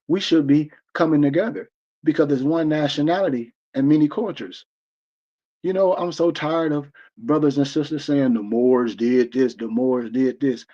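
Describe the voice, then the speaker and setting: A man's voice: on the high side, moderate pace, mellow. An American man in his forties hosting a livestream discussion, talking in a preachy, emphatic way with many restarts. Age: 40s. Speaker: discussion host. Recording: dull lo-fi stream audio.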